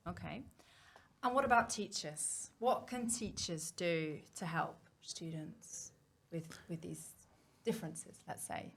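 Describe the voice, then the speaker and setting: A woman with a somewhat low voice conducting a casual interview. She asks her questions plainly and keeps up a steady stream of short supportive affirmations while her guest talks. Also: slow, gravelly, husky. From English-speaking country. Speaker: interviewer, advisor.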